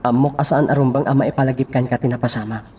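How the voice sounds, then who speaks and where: A man's voice: medium pitch, steady tone, quick pace, a little mellow, a little hiss or hum, natural voice. A man acting as a hesitant, earnest young suitor in an Ilocano radio drama. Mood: apologetic.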